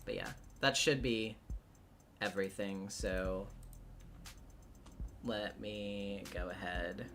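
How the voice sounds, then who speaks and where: Bright, halting voice in male range, casual streamer. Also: low voice, steady tone, a little hiss or hum. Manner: hesitant, halting, thinking aloud. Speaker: livestream host and voice actor. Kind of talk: casual livestream chat.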